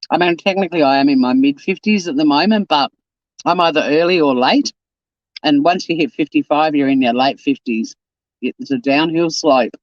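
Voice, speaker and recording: A man's voice: a little high, moderate pace, long pauses, no room sound, dull audio. A man in his fifties chatting casually on a live stream, reading out and answering viewer comments. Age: 50s. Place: home.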